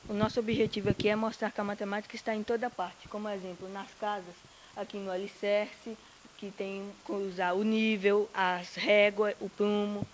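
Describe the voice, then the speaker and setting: A woman's voice: mid-range voice, level tone, normal speed, a little hiss or hum, rough recording. A woman presenting a school project, listing examples one by one. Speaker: student presenter.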